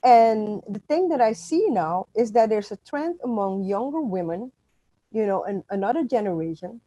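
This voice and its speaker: A woman's voice: medium pitch, lively intonation, moderate pace, dark tone, no room sound. A middle-aged woman from Suriname, a native Dutch speaker, talking as an author in an interview, emphatic with frequent restarts. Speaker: author and guest. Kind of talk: conversational interview.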